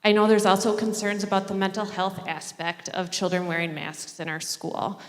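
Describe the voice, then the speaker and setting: A mother reading a prepared statement at a school board public comment, earnest and measured. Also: mid-range voice, level tone. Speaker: parent speaking at public comment. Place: school board meeting hall. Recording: long reverb in a large hall.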